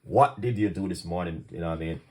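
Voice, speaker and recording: A man with a Jamaican accent hosting a casual cooking live stream, talking fast, laughing often and greeting viewers by where they watch from. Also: sits low, a little mellow. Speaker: cooking channel host.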